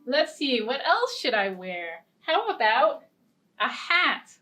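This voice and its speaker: A woman librarian leading a children's storytime, singing simple repeated verses and reading a picture-book story in a gentle, expressive way. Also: high-pitched, slow pace, distant mic, soft background music. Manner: gentle, sing-song and expressive. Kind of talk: children's storytime with songs and ukulele.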